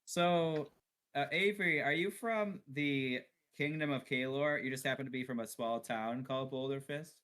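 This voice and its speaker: A man plays his part in a tabletop role-playing game with genial, mock-formal speech. His pitch sits at an ordinary middle level for a man. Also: moderate pace, fairly bright tone. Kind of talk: in-character casual chat.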